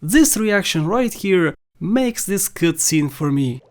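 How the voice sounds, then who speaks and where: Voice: medium pitch, expressive, takes it slowly, a little mellow. A video host reading scripted commentary over game cutscenes as a voice-over. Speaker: video host, narrator of a countdown.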